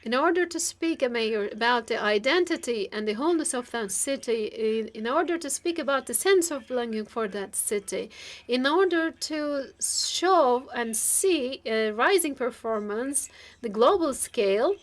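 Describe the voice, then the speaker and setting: Woman, high-pitched, live interpreter, formal keynote register, halting piecemeal phrasing, stretched words. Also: expressive. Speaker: simultaneous interpreter.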